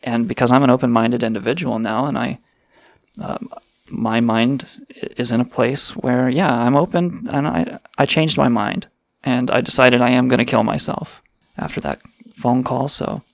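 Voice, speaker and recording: गरजती खुरदरी आवाज़ वाला व्यंग्यात्मक पुरुष होस्ट. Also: male voice, medium pitch, normal speed, vocal fry, no echo. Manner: crude, sarcastic, rambling. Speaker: radio show host. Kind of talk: irreverent comedic banter.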